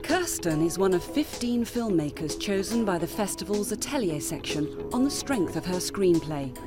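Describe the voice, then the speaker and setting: A woman delivers scripted news-feature narration in a fairly low voice. Prominent music plays behind her measured storytelling. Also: sing-song.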